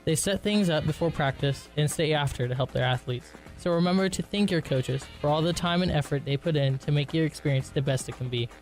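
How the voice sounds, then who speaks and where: A young man reads a scripted public service message. His voice is on the higher side and he moves through the words quickly, with soft guitar music underneath. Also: poor audio. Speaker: student advisory member reading public service announcement. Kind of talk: scripted announcement read.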